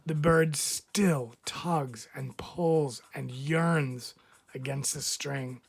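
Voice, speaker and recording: A man reading a picture book aloud as a teacher would, expressively, with deliberate dramatic phrasing and frequent pauses. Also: medium pitch, vocal fry, husky. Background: background music.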